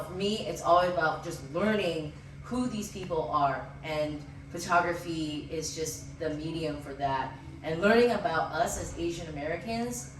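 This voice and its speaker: A woman's voice: fairly low pitch, a bit bright. Woman, panelist, earnest, reflective, distant mic, faint noise. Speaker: photographer on a panel. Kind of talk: panel discussion. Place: event room at a photography center. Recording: roomy room mic from far off, faint noise.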